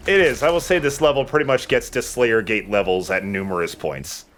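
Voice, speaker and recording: A man's voice: fairly low pitch, brisk, a bit bright, a little hiss or hum. A man hosting a let's play, chatting casually and jokingly as he plays. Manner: joking, rambling. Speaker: let's play host playing the game. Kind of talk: casual gameplay commentary chat. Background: video game music.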